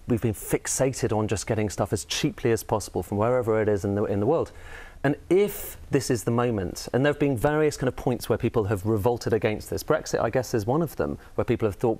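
A man's voice: mid-range voice, darkish tone. A man commenting on economics in a panel debate, thinking aloud and hedging with frequent qualifiers. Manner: thoughtful, hedging, many "kind of" and "I mean".

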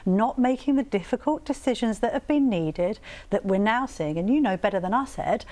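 A woman's voice: a little high, animated, dark tone. Combative woman in her forties firing questions. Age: 40s. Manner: combative, rapid-fire rhetorical questions. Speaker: political commentator. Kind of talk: panel debate.

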